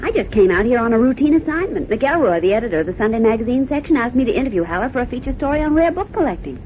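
A stubborn, wisecracking female reporter in old-time radio drama. Her voice is fairly high and very lively, with a sassy, assertive edge. The recording is muffled vintage radio with faint noise. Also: rapid-fire. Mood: indignant. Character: brash, teasing, stubborn female newspaper reporter. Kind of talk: 1940s radio drama acting.

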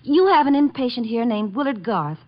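A woman's voice: on the high side, expressive, moderate pace. An actress playing a haughty, hot-tempered young society woman in a 1950s radio drama, with an imperious manner. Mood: icy, impatient. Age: young adult. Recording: dull vintage radio broadcast recording.

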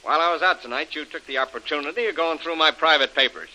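An actor playing a suspicious, ruthless villain in a 1930s radio serial, talking in blunt, sarcastic slang. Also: male voice, a little high, quick pace, sharp tone, poor audio. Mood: menacing. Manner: hard-boiled, sarcastic, blunt slangy talk. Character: ruthless, suspicious male villain, cold and menacing. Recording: vintage 1930s radio recording.

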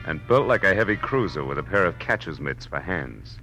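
An actor with an American accent playing a tough, wisecracking private detective in a 1940s radio drama, wry and clipped. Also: male voice, deep voice, sing-song, a little fast, sharp tone, soft background music, heavily acted, low-quality recording. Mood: hard-boiled, wry. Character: hard-boiled, wisecracking male Los Angeles private detective. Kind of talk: 1940s radio acting, noir narration. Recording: old radio broadcast recording.

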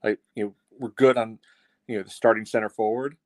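Lowish, dry-sounding man talking sports. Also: fairly even intonation, a little fast, a little mellow, dry recording. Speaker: co-host of a soccer fan show. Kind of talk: casual sports analysis.

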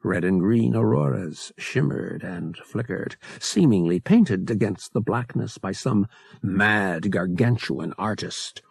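A man narrating an audiobook dramatically, with deliberate phrasing and pauses for effect. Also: lowish voice, vocal fry, slightly rough, no echo, studio quality.

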